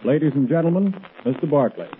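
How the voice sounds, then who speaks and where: A man's voice: on the high side, expressive, quick pace, slight background noise. An American man working as an announcer, reading a script in formal 1930s radio style. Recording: tinny 1930s radio recording.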